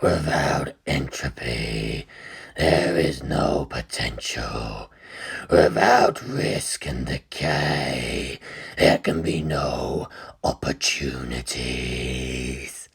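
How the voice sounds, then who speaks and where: A narrator voicing a vast, ancient godlike being that intones solemnly and ominously in a gravelly, husky whisper, for a story. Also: rumbling low, pitched below the performer's own voice, full character voice. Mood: solemn menace. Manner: extremely slow, solemn, breathy intoning.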